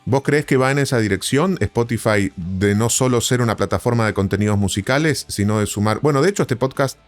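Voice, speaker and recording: A man's voice: medium pitch, fairly quick. A man with an Argentine accent, a podcast host and interviewer who speaks in a courteous, appreciative, conversational way. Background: music bed.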